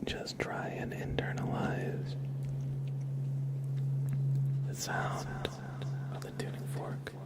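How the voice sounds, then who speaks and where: A man hosting a live ASMR stream, chatting softly to viewers and gently guiding them in an unhurried way. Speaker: ASMR livestream host.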